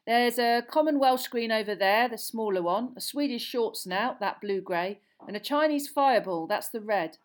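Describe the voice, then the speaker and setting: Woman playing a brisk young male dragon keeper. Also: fairly high pitch, animated, pitched above the performer's own voice, natural voice. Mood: explaining. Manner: emphatic, matter-of-fact, colloquial. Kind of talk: audiobook character voice.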